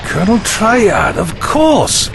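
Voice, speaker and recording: A man's voice: high voice, very animated, takes it slowly, vocal fry, over music, heavily acted. A man playing a courteous, wry time-travelling eccentric with lost memory, speaking formally in an audio drama. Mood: pleased recognition. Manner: formal, wordy, inquisitive.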